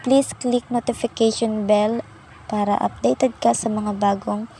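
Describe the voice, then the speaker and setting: A woman's voice: fairly high pitch, fairly even intonation, measured pace, dark tone, slight background noise, low-quality recording. A woman hosting an app tutorial, politely and patiently walking viewers through each step. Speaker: tutorial channel host.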